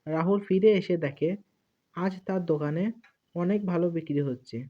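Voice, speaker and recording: A man's voice: on the high side, normal speed. A man narrating a cartoon story from a script as the storyteller. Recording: narrow, phone-like muffled sound.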